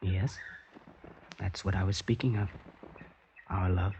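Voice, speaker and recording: An actor playing an earnest, introspective American poet abroad with an American accent in a 1950s radio drama. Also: male voice, deep voice, steady tone, slow pace, creaky, a little mellow, highs cut off, a little hiss or hum, exaggerated voice. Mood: tender. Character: American poet abroad, troubled and devoted husband.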